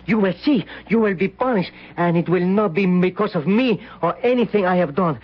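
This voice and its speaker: Childlike, servile Spanish manservant. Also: male voice, sits high. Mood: sullen, threatening. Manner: halting, repetitive, servile. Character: simple, childlike, fearful Spanish manservant with a cruel streak. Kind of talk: old-time radio drama acting. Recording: dull old radio broadcast recording.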